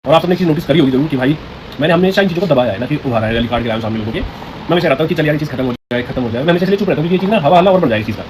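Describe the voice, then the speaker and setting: A man's voice: fairly high pitch, animated, rapid-fire. A man vlogger speaking casually and directly to viewers in a rambling, aggrieved way. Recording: clear vehicle noise in background.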